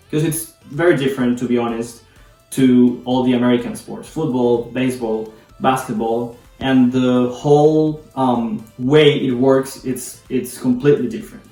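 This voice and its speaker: A man's voice: mid-range voice, steady tone, moderate pace, a little mellow. Man in an echoey room. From Colombia. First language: Spanish. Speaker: video host explaining soccer. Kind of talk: casual explainer to camera. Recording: echoey room, distant mic, background music.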